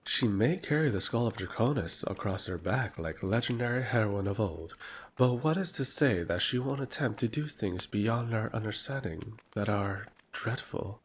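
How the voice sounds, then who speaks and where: A man's voice: on the low side, slight background noise. A man playing a sly, condescending royal emissary in a fantasy audio drama, speaking with silky, mocking politeness. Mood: insinuating.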